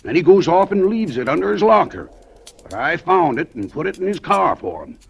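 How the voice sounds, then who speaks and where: An actor playing a fussy older factory worker with a gravelly voice who complains in a folksy way, in a 1940s radio drama. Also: male voice, high voice, sing-song, brisk, muffled recording, full character voice. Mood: explaining.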